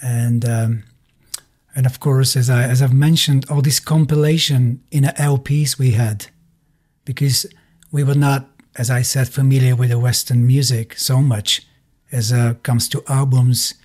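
A middle-aged man with a Bulgarian accent, speaking haltingly in non-native English as he tells his story in a casual interview. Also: mid-range voice, fairly even intonation, a little mellow. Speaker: musician being interviewed.

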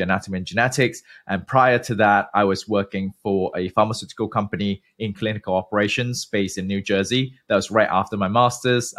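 A man in his thirties from Malaysia, a guest researcher giving measured, explanatory interview answers with frequent pauses. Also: on the low side, a bit bright.

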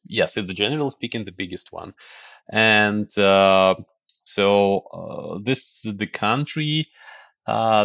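A man from Ukraine with a fairly low voice, talking in a halting way full of restarts. The sound is dry and dull, like a lo-fi recording. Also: a bit bright, no echo. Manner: halting, many restarts and fillers. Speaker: guest. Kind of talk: podcast interview.

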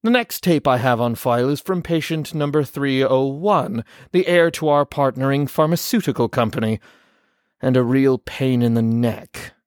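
A man's voice: mid-range voice, moderate pace, no echo, natural voice. A sardonic doctor acted by a man dictates patient notes for an audio drama. The delivery is formal and wordy, in a medium register with a very dry, close sound. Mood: dry, wry.

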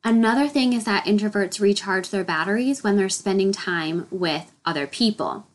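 A woman's voice: mid-range voice, normal speed. A woman hosting her solo podcast, a little nasal and congested from a head cold, explaining a topic in an upbeat way. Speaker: podcast host.

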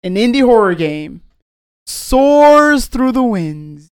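Excitable gaming podcast host, giggly, repeats stuff, really dry close sound. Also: medium pitch, slow, clean tone, dry recording. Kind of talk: casual gaming podcast chat.

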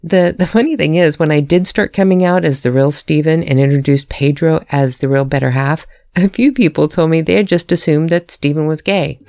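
Woman podcast host, candid and self-mocking, gravelly low voice, close and dry. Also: darkish tone, dry recording. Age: adult, married. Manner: candid, self-deprecating, laughs at herself. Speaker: author hosting her own podcast. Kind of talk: reading a prepared personal talk.

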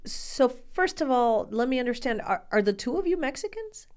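A woman hosting a podcast, interviewing conversationally in deliberate, probing phrases. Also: high-pitched, big pitch swings, a little mellow.

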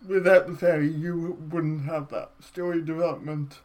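Thoughtful male interviewer, fairly high voice. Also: steady tone, moderate pace, rough recording. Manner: thoughtful, reflective, warm affirmations. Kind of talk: casual interview.

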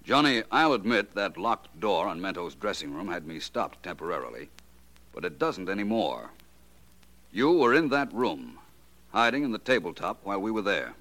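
Smooth detective, very low voice, lively bright delivery, radio play. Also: male voice, moderate pace. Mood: assured. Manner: cool, explanatory. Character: suave, confident male gentleman detective. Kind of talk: 1940s radio acting.

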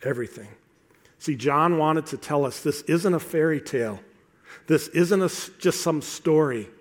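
A middle-aged man preaching a sermon to a congregation in an earnest, emphatic way, repeating phrases deliberately for effect. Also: on the high side.

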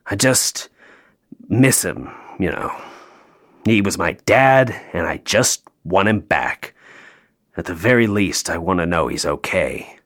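An actor playing a bitter, grieving North Pole elf in an audio play, sarcastic and emotionally open. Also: male voice, deep voice, animated, slow pace, a bit bright, heavily acted. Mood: sad, longing.

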